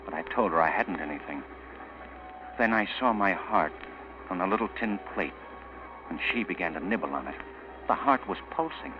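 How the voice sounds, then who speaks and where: Brooding, low-voiced radio murderer, swinging intonation. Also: male voice, animated. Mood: anguished, bitter. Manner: dramatic, halting, repeating himself. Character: tormented, obsessive male murderer, brooding and unravelling. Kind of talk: 1940s radio acting, inner monologue. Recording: dull old radio, music behind.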